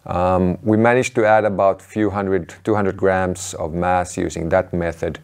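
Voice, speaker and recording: A man in his thirties giving a measured, matter-of-fact explanatory talk to camera as a presenter. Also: low-pitched, flat delivery, creaky, a little mellow.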